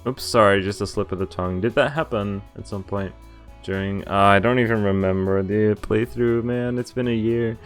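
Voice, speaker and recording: A man's voice: fairly low pitch, fairly even intonation, moderate pace. A man streaming a game, casually reading its text aloud and adding laid-back, wry asides. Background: game music.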